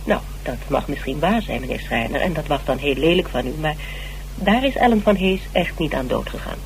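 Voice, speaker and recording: A woman's voice: low-pitched, animated, a little fast. A woman in a Dutch radio drama playing a shrewd, composed investigator with a probing, matter-of-fact manner. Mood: firm, sceptical. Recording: noisy, humming old radio recording.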